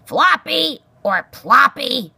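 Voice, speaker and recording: A woman's voice: medium pitch, big pitch swings, unhurried, creaky, bright, forceful tone, slightly rough, no room sound, voice pushed up, heavily acted. A woman voicing a grumpy old male porcupine in a storybook, barking scornful insults in a growling bluster. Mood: furious.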